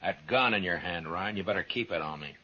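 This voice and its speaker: An actor playing a stern, steady frontier marshal in a 1950s radio western, measured, terse and authoritative. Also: male voice, sits low, background noise, lightly acted. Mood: firm warning. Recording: dull old radio recording with music.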